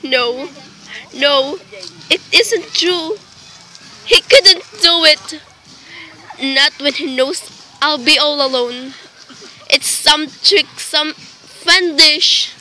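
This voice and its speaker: A woman's voice: high-pitched, bright, forceful tone, full character voice, poor audio. A woman playing a nervous, demanding invalid wife in a radio play, with indignant, melodramatic delivery. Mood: distraught, frightened. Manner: melodramatic, indignant, slow deliberate emphasis. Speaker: student actor in a radio play.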